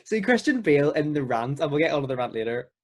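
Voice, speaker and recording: A man's voice: a little high, very animated, fast talker. A teenage male podcast co-host talking films casually, agreeable and matey, with a readiness to laugh. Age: teens. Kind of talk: casual film discussion.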